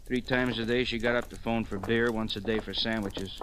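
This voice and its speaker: A man's voice: lowish voice, steady tone, brisk, natural voice. Man playing a wry, slangy radio cop, noisy recording. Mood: wry reporting. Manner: clipped, slangy, wry reporting. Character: brisk, wry, slangy male police sergeant. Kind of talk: old-time radio crime drama acting.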